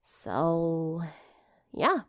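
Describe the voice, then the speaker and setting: A woman's voice: low-pitched, very animated, very slow, big-room sound, full character voice. A woman voicing a casual, kind, slightly awkward teenage girl in an audiobook story. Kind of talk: audiobook character dialogue.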